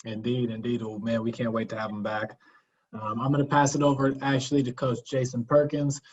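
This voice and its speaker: A man's voice: medium pitch, level tone, brisk. Coach guy hosting a zoom q&a, friendly, lots of ums, muffled lo-fi sound. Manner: friendly, upbeat, hesitant "um" fillers. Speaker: camp coach, moderator. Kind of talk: hosting a virtual Q&A. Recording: dull lo-fi call audio.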